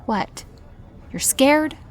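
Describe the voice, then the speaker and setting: An actress playing a sassy, protective best friend who mothers and coaxes in an audio drama. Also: very slow. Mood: firm, coaxing. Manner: sassy, coaxing.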